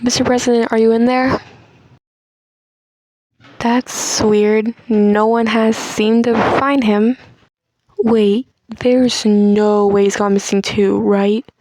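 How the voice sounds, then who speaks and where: Guy doing a jittery high female aide, flat and slow, mumbly worried, dry sound. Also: dry recording, rough recording. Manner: nervous, worried muttering to herself.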